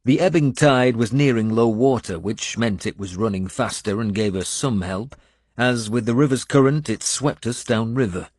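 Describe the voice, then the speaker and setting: A man reading a scripted first-person audiobook in a measured storytelling manner. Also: medium pitch, darkish tone, dry recording, studio quality. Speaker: audiobook narrator.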